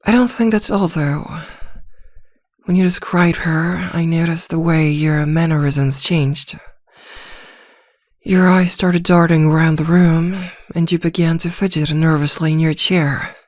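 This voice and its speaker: A composed, attentive therapist played by a woman speaks in a slightly low, soft voice for an ASMR roleplay. She is measured and reassuring, probing gently with small hesitations. The sound is very dry, with no room around it. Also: steady tone, no echo. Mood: analytical, probing.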